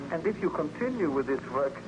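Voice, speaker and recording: An actor playing a scientist's concerned, cautious friend, formal and measured, in an old-time radio horror drama. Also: male voice, on the high side, sing-song, highs cut off. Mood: concerned, cautioning. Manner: formal, measured, earnest diction. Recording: noisy old radio recording.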